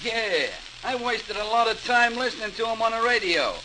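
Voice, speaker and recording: A man's voice: very high-pitched, normal speed. An actor playing a disgruntled, mouthy man in a 1940s radio show, whining in slangy complaints. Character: disgruntled, mouthy male radio listener grumbling and scoffing. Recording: noisy, crackly 1940s radio recording.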